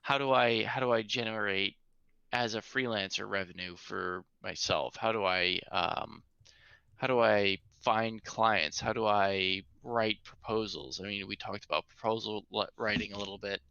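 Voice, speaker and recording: A man's voice: fairly low pitch, normal speed, creaky, sharp tone, smooth, dry recording, dull audio. A man chatting casually as a guest on a talk show, rambling through his thoughts with many fillers. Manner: rambling, lots of fillers, friendly.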